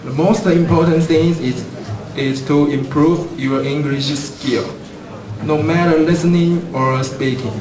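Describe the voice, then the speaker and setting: A man with a Taiwanese accent co-hosting a student English event, speaking carefully and deliberately. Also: a little high, steady tone. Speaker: co-host of a student English corner. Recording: distant, echoey room with crowd.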